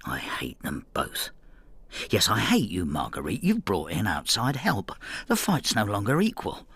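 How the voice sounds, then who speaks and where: An actor playing a bitter, hard-drinking old husband in a radio drama, brooding and sardonic with a husky voice. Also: male voice, on the low side, huge pitch swings, a little slow, a bit bright, no echo, full character voice. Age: older. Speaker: lead actor and narrator. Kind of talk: radio drama acting, confessional narration.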